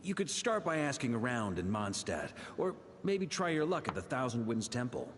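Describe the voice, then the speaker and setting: A man's voice: medium pitch, very animated, normal speed, noisy background. A man voicing a genial, casual, roguish knight commander in a video game, offhand and wry.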